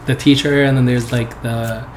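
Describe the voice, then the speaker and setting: A relaxed young man talks in a loose, rambling way, his words full of fillers and easy laughs. His first language is not English, and his pitch sits in a middling range. The room is echoey, and a steady hiss of noise runs behind him. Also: moderate pace. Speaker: software developer guest. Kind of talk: casual interview chat.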